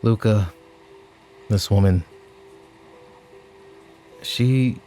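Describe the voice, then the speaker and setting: A man playing a weary, exasperated mafia boss in an ASMR audio drama, talking in a dry, halting way. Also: lowish voice, mellow, faint music behind. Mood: weary, troubled. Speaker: voice actor in audio roleplay.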